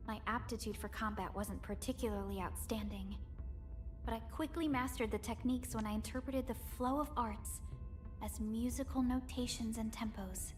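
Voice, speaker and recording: A woman voicing a gentle, earnest young supervisor in a video game, speaking in a measured, formal and wistful way. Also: on the high side, fairly even intonation, faint music behind, natural voice. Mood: solemn. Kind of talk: scripted video game character dialogue.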